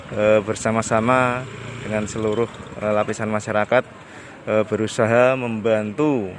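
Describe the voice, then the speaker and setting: A male village official answering a reporter in a formal, halting way with many fillers. His voice sits at a medium pitch. Faint street noise runs behind him outdoors. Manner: formal, frequent hesitation fillers. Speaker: village head. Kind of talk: interview answers.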